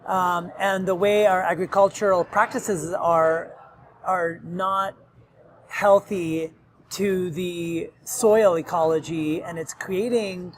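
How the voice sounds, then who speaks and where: A man's voice: sits high, fairly even intonation, slow, a little hiss or hum. A man who grew up in Nigeria in a Lebanese family, a restaurant owner giving earnest, rambling interview answers with many ums, recorded in a restaurant. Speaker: restaurant owner, podcast guest.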